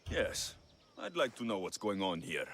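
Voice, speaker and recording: An actor playing a blunt, brooding young Greek mercenary in a video game, questioning and challenging others. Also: male voice, lowish voice, sing-song, moderate pace, fairly bright tone, slight background noise. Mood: guarded. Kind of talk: video game cutscene acting.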